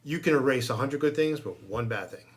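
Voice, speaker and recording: A man's voice: medium pitch, expressive, a little fast. A man speaking as a guest fire chief in a casual discussion, plain-spoken and emphatic, repeating phrases to drive points home.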